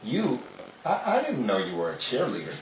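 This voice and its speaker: A man's voice: medium pitch, wildly swooping pitch, normal speed. A man playing a grumpy, sarcastic, unromantic homebody husband in a 1930s-style radio drama, with dry exasperation. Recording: dull, roomy, distant, with faint noise.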